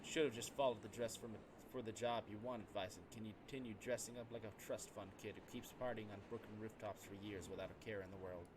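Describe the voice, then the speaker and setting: A man's voice: on the low side, fairly even intonation, fairly quick, few pauses. Man reading game story aloud, noisy recording. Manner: dramatic reading with stumbles over words. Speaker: video game streamer. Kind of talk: let's play read-aloud of visual novel text.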